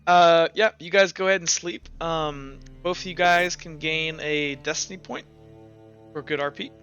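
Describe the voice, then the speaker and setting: Higher-voiced man running a tabletop game. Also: fairly even intonation, moderate pace, fairly bright tone, lo-fi sound, soft background music. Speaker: game master. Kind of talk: casual tabletop game narration.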